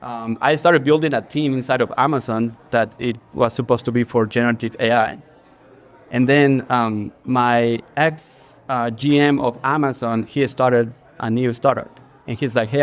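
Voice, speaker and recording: A man's voice: medium pitch, fairly even intonation, moderate pace. Ecuadorian-accented man telling his story. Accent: Ecuadorian Spanish. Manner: earnest, with many fillers and restarts. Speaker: guest, creative director. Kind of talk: casual interview on stage. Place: bar, live audience.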